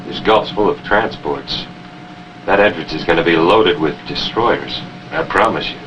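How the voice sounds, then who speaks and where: A wary submarine crewman reports in a low voice. The 1950s drama soundtrack is dull and very noisy. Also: unhurried, recorded from a distance. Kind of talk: 1950s television war drama acting.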